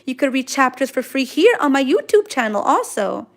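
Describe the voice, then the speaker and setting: A woman's voice: high-pitched, big pitch swings, moderate pace. A woman from Queens, chatting casually and effusively on a livestream as she answers viewer comments, full of exclamations. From Queens, New York City, United States. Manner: gushing, effusive, sprinkled with exclamations. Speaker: manga artist hosting a drawing livestream.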